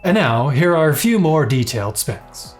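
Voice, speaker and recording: A man's voice: a little high, big pitch swings, normal speed, music in the background. Enthusiastic man narrating a review. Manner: playful, enthusiastic. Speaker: product reviewer.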